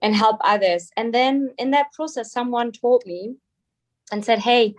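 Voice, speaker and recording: A woman with a German accent talks in a chatty, lively way about her fitness work. Her voice sits fairly high. She fills her talk with "like" and "you know.". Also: measured pace. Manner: chatty, lots of "like" fillers. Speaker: EMS trainer, guest. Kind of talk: casual webinar talk.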